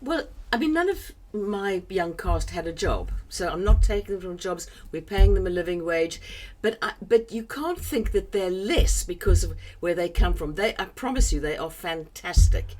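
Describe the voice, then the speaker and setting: Low-voiced older South African-English woman. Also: lively intonation, moderate pace, hardly any pauses, a little mellow, rough recording. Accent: South African mixed with English. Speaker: guest, theatre show creator. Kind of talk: conversational interview.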